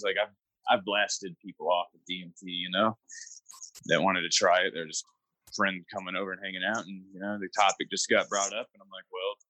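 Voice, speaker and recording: A man's voice: lowish voice, sharp tone, no room sound, low-quality recording. A young man in casual chat, talking in a laid-back, rambling way with lots of fillers.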